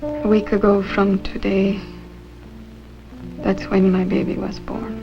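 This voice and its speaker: A woman's voice: mid-range voice, little pitch movement, slow, creaky. An actress playing an earnest, plaintive married woman in a 1950s television drama. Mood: weary, wistful. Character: weary, wistful married woman denying then confessing a secret. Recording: dull 1950s soundtrack, loud music behind.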